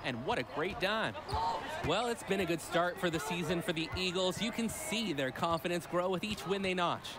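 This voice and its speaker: Male analyst played by a man for a basketball video game, enthusiastic commentary in a high register. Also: normal speed, natural voice. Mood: admiring. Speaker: colour commentator.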